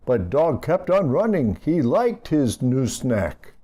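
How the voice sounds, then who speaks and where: A man with a mellow voice reads a children's rhyming storybook. His pitch swings widely as he goes, animated and aimed at little listeners. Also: a little hiss or hum. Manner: unhurried, animated, addressing young listeners. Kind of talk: expressive read-aloud of a rhyming picture book.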